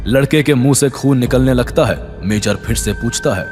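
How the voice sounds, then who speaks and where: Male story narrator, medium pitch, suspenseful, intense, scripted thriller, clear music bed. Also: normal speed, a little mellow. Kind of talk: dramatic scripted narration of Hindi audio thriller.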